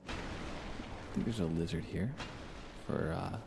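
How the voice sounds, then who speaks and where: A man giving soft-spoken, relaxed and unhurried ASMR commentary while he plays a video game live. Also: low voice, vocal fry, noisy background. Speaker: livestreamer playing a video game.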